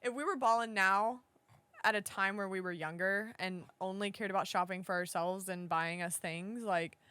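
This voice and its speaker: A woman's voice: medium pitch, steady tone, moderate pace, bright, forceful tone, smooth, no echo. A woman in her late twenties chats casually on a podcast, teasing her co-host with wry remarks and easy profanity. Her voice sits close and dry, with no room sound around it. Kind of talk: casual chat.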